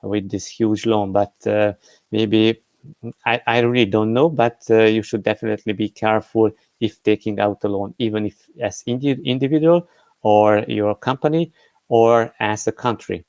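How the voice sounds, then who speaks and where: A man talks through the day's news off the cuff, pausing on frequent fillers and restarting his sentences. His voice sits fairly low and comes across smooth and resonant. The sound is close and dry. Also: fairly even intonation, a little mellow, dry recording. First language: Hungarian. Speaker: crypto news host. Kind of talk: unscripted commentary on news.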